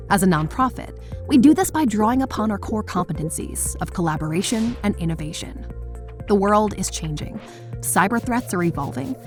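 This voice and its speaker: Woman, American accent, ad announcer, mid-pitched, very varied intonation, polished scripted read, music behind. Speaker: advertisement announcer.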